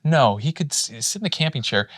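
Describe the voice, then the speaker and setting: A man's voice: on the high side, animated, a little fast, a bit bright, no echo, studio quality. A man co-hosting a podcast, telling stories in a rambling, casual way with lots of restarts. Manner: rambling anecdotes with many restarts. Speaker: podcast co-host. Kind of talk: casual chat, storytelling.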